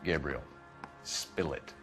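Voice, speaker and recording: An actor playing a stern, hot-tempered police detective in a video game, with a clipped, interrogating manner. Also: male voice, very deep voice, takes it slowly, music in the background. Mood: pressing. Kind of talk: video game cutscene acting.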